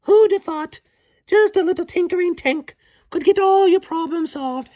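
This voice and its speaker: A man's voice: very high-pitched, normal speed, dark tone, muffled recording, pitched above the performer's own voice. A man voicing a cheerful, boastful little female tinker fairy in a bedtime storybook, bubbly and self-pleased.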